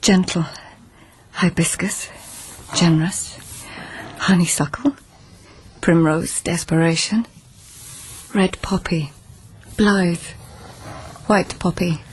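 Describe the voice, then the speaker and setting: An actress playing a proud, guarded court lady in a radio drama, restrained and crisp in manner. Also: fairly low pitch, steady tone, slow pace, pauses often, a little hiss or hum, poor audio. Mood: wistful.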